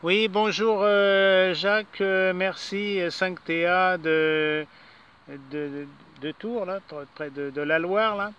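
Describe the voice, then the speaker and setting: A man's voice: sits high, fairly even intonation, takes it slowly, a bit bright, clear, resonant tone, highs cut off. A man making a ham radio exchange as an operator, repeating himself and hesitating between call signs. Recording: noisy radio transmission with clicks.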